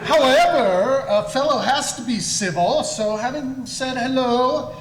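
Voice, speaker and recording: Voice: high voice, a little slow, a crowd in the background. A performer playing a young upper-class man, indignant and hapless, in a comic staged story reading. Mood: explanatory. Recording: roomy, clearly noisy live recording.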